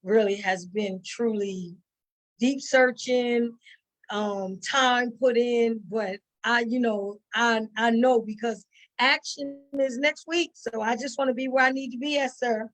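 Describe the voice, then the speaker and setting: A mature woman from the United States chatting casually as an interview guest, telling stories with quoted asides and halting starts. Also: a little high, steady tone, low-quality recording. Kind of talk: casual chat, storytelling.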